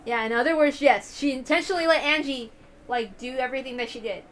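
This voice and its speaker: A woman's voice: sits high, quick pace, a bit bright, a little hiss or hum. A woman reading a visual novel aloud and adding casual commentary of her own.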